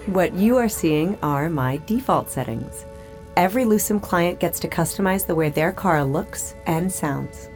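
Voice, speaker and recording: An actress playing a friendly, upbeat AI hologram tour guide, speaking in a polished, personable way in an audio drama. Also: female voice, lowish voice, animated, unhurried, darkish tone, faint music behind. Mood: friendly, playful. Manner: polished, personable presenter delivery. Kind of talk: scripted audio drama acting.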